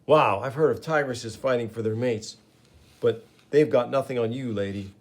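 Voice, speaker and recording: A man's voice: mid-range voice, normal speed, natural voice. Man voicing a brash young jock, cocky, wisecracking, storybook bully. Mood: teasing. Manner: brash, joking.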